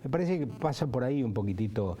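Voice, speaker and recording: A man's voice: medium pitch, animated, fast talker, mellow. A man giving reflective interview answers, circling and hesitating over his phrasing.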